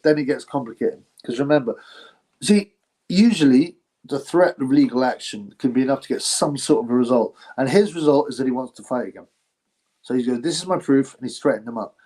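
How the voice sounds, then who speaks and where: Male streamer, casual, rambling, mid-pitched, lots of ums and chuckles. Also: a little mellow, husky, poor audio. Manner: rambling, laughs often, frequent fillers. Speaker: boxing channel host reading chat. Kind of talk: casual live-stream chat.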